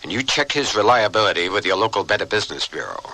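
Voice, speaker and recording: A man's voice: fairly low pitch, lively intonation, quick pace, sharp tone, no room sound, rough recording. A man reading a scripted radio public service announcement, as an advisory announcer.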